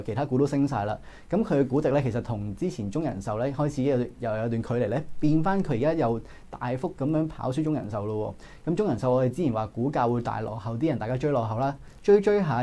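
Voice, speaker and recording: A man in his thirties with a Hong Kong Cantonese accent, giving brisk analytical stock market commentary in a studio. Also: mid-range voice, warm tone. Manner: brisk, analytical, frequent filler particles. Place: indoor studio.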